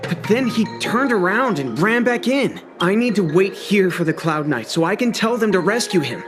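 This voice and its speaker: A man's voice: high-pitched, very animated, normal speed, music in the background. An actor playing an anxious, gloomy young male apprentice in a video game, earnest and wary. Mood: worried. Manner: earnest, worried. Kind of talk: video game cutscene acting.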